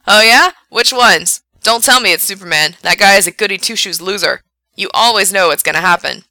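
Woman voicing an excitable, loud young male radio DJ. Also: medium pitch, normal speed, no echo. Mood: upbeat, projecting. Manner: enthusiastic, teasing, opinionated. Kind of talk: radio DJ patter in an audiobook story.

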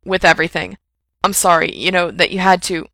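A woman voicing an anxious, defensive young man who talks in loud, strained bursts, in a low, gravelly voice. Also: fairly even intonation, moderate pace, dry recording. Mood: flustered. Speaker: reader voicing a character.

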